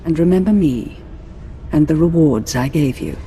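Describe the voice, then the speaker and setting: An actress playing a commanding, seductive Sith lord in a video game, speaking in a measured, imperious way. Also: female voice, low-pitched, expressive, warm tone, a little hiss or hum. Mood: imperious, satisfied. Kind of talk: dramatic video game acting. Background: background music.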